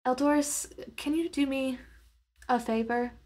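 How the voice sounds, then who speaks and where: A woman takes part in a tabletop game as a player. Her voice sits fairly high, and she speaks in a casual, conversational style as she asks questions and describes actions. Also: fairly even intonation, unhurried, a little mellow.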